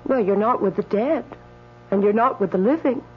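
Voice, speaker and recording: An actress playing a sweet, coolly teasing spirit from the afterlife in a radio drama, matter-of-fact and coaxing. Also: female voice, medium pitch, moderate pace, breathy edge. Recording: dull radio broadcast, faint mains hum.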